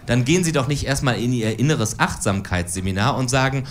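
A woman's voice: very deep voice, expressive, fairly quick, a little hiss or hum. A woman in her forties performing stand-up political cabaret on stage, with a dry, ironic delivery. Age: 40s. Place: stage before a live audience.

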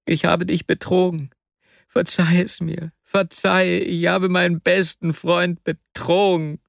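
A man's voice: a little high, expressive, a little slow, vocal fry, no room sound, studio quality, voice pushed up. A man reading a story, voicing a tearful, pleading young male clerk in a raised, anguished voice. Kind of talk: audiobook character voice.

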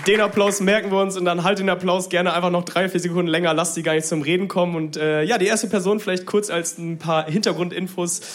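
Male comedy host, high-pitched voice, rambling informal delivery, live crowd, slight noise. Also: flat delivery, quick pace, few pauses, fairly bright tone. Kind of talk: stand-up crowd warm-up. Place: comedy venue stage, live audience. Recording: live stage with audience noise.

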